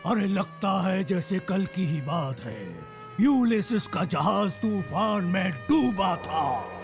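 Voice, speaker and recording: A man's voice: high voice, sing-song, slow pace, full character voice. A man acting a grumpy but good-hearted blustering giant king of the winds in a Hindi cartoon dub. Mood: wistful, grumbling. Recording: cartoon music behind the voice.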